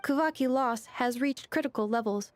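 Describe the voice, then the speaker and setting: Woman playing clinical female robot, slightly high voice. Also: normal speed, darkish tone, close to an everyday voice. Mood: clinical, warning. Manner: formal, clinical, precise. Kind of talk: anime game voice acting.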